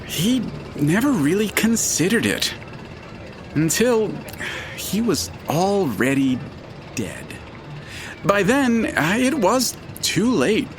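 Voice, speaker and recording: A man acting in an audio drama as a detached, formal narrator who speaks deliberately and matter-of-factly. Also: sits high, big pitch swings, noisy background, full character voice.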